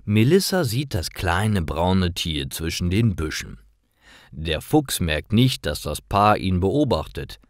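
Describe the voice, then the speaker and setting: A man narrating simple scripted stories for language learners in a careful, expressive way. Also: sits low, slow, no room sound, clean studio sound. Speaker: storyteller for German learners.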